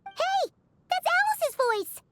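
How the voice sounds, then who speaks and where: A tiny, excitable fairy girl speaks in an extremely high, squeaky voice. The pitch bounces expressively in a childlike video game style, with music behind it. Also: takes it slowly, full character voice. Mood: surprised, whiny. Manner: refers to self in third person, expressive. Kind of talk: video game character acting.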